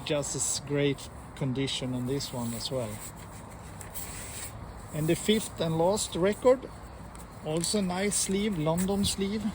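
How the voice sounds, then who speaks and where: A man casually presenting to viewers as a record collector host, in a halting, unhurried way. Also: on the high side, background noise. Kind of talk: casual showcase vlog talk.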